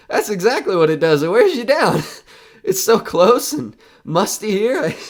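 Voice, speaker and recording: A man playing a nervous radio reporter who is coming unravelled in a horror audio drama, talking in a halting, rambling way. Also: high voice, sing-song. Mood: rising panic.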